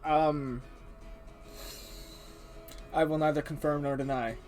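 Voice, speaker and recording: A man's voice: fairly high pitch, steady tone, slow pace, a little mellow, soft background music. A man hosting a casual livestream, reading the chat aloud in a joking way and laughing often. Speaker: stream host.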